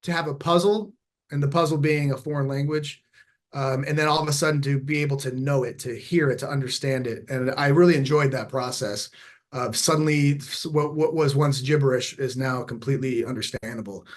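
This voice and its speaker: A man in his forties from Texas, speaking as a podcast guest at an ordinary middle pitch. He looks back on his past in an unhurried, reflective way, often pausing and restarting his sentences. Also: fairly even intonation. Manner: halting, with many fillers and restarts. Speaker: podcast guest, former special operations veteran. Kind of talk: reflective conversational storytelling.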